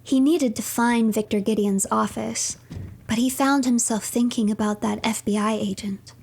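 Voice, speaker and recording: A woman streamer narrating a video game playthrough as a third-person story, in a measured storyteller manner. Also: fairly high pitch, fairly even intonation, gravelly, darkish tone, breathy edge.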